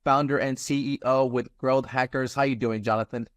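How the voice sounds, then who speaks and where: A man's voice: medium pitch, fairly even intonation, moderate pace, no room sound. A man hosting an interview podcast in an informal, chatty way.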